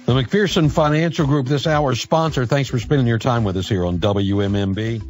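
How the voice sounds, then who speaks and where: A man's voice: medium pitch, moderate pace, darkish tone, faint music behind. A man hosting a live radio talk show in a casual, conversational style.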